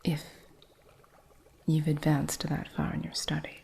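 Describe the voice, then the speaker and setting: Woman doing a witch shopkeeper in asmr roleplay, soft unvoiced whisper, slow and gravelly. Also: low voice, flat delivery. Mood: gentle whispering. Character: eccentric female witch shopkeeper mentoring an apprentice.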